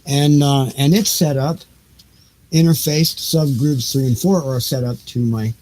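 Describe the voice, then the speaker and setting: A man chatting casually and haltingly, half to himself, as he fiddles with equipment for a live stream. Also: mid-range voice, steady tone, a little mellow. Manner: muttering to himself, distracted, halting. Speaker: audiobook reader and live streamer. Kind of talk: casual rambling tech chat while setting up a live stream. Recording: noisy live home-studio recording with clicks.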